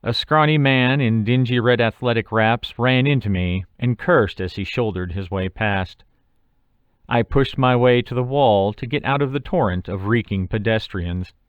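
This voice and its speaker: A man's voice: medium pitch, moderate pace, clear, resonant tone, no room sound, highs cut off. A man reading his own short story aloud as scripted first-person audiobook narration.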